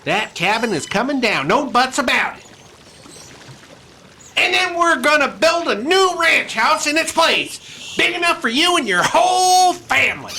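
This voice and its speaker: An actor playing an excitable old Western miser turned joyful in a radio play, stammering and repeating himself. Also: male voice, extremely high pitch, unhurried, vocal fry, slight background noise, exaggerated voice. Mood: joyful, emotional.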